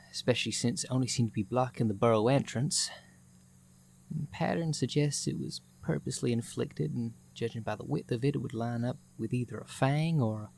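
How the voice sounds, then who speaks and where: A man's voice: medium pitch, vocal fry, a little mellow, natural voice. Man voicing an eager, folksy young tentacled student. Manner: folksy, hesitant, corny joking. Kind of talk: character acting in an ASMR audio roleplay.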